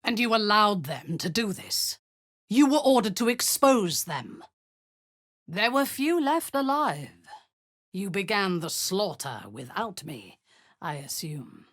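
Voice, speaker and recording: A woman's voice: medium pitch, sing-song, slow, dry recording, studio quality. A woman playing a cruel, zealous drow war commander in a fantasy video game, commanding and full of haughty contempt. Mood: cold disapproval.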